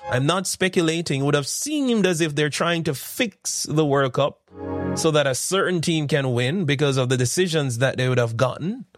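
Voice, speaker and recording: A man's voice: a little high, animated, normal speed, no room sound, studio quality. A man preaching a morning devotional sermon who repeats his points, asks rhetorical questions and builds his case through long lists of examples. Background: instrumental music.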